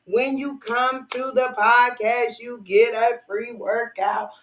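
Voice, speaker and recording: Lively woman podcast host. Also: a little high, takes it slowly, low-quality recording. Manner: animated, hyping guest. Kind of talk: casual podcast chat. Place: podcast studio.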